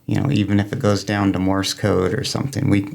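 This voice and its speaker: A man's voice: lowish voice, monotone, a little fast, vocal fry, a little mellow. A man speaking as a guest in an interview, explaining things informally in a plain-spoken way. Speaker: guest, amateur radio club member.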